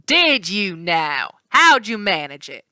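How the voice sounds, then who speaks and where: A woman's voice: mid-range voice, very animated, sharp tone, no echo, studio quality, heavily acted. Woman doing a drawling villainous rancher. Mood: smug. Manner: slow drawling and condescending. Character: smug scheming male rancher villain.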